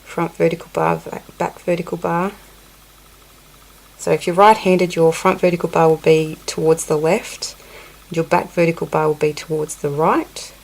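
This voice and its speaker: A woman's voice: on the low side, little pitch movement, normal speed, gravelly, low-quality recording. A woman with a cold, teaching a crochet technique over close-up shots of her hands, counting stitches under her breath and apologizing in asides. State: sniffly with a cold. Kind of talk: hands-on craft tutorial.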